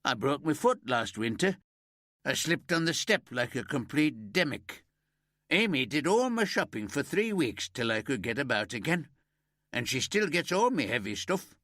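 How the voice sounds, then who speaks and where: A man voicing a grieving old ex-miner from Yorkshire who keeps birds, in a broad homely Yorkshire accent, pitched above his own narrating voice. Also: sing-song, moderate pace, no room sound, clean studio sound. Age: older. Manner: broad and homely, higher and warmer than the narrator.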